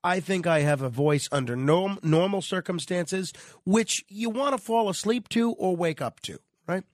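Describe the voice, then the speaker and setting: A man's voice: high voice, normal speed, no echo, studio quality. A man hosting conversational talk radio, his voice nasal and stuffed up with a cold. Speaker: radio host.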